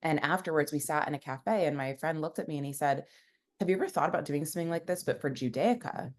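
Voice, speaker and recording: Very low-voiced woman, casual and rambling. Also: quick pace. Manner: rambling, many "like" and "you know" fillers. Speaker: founder and guest. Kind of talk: casual conversational interview.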